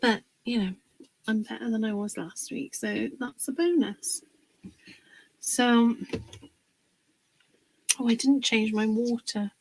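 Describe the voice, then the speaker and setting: A woman, slightly hoarse from a cold, chatting casually on a livestream while she works on a craft project, pausing with fillers. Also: fairly high pitch, a little mellow, a little hiss or hum, low-quality recording. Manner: chatty, rambling, pauses with fillers while working.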